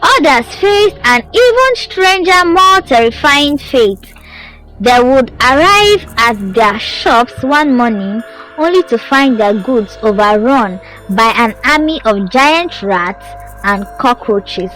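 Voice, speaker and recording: Female folktale narrator, higher-pitched and very expressive, building suspense dramatically over background music. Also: slow, rough recording. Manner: dramatic, suspenseful storytelling delivery. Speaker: storyteller. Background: music under the voice.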